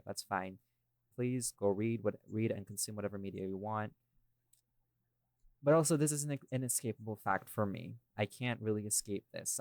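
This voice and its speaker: A man's voice: on the low side, a little mellow, no room sound. A man in a casual podcast chat as a creator and co-host, speaking informally with some swearing and tag questions.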